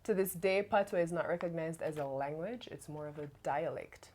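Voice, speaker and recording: A woman's voice: sits low, brisk, a little mellow. A woman from Jamaica with a Jamaican accent, chatting casually as she hosts a quiz. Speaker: quiz host on a family vlog. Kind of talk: casual couples quiz chat.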